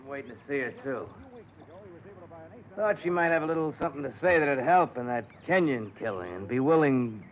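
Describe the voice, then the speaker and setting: An actor playing a sullen, resentful off-duty policeman with a gravelly voice in a 1950s radio drama. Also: mid-range voice, animated, a little fast, natural voice. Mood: casual, evasive. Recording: dull old radio recording.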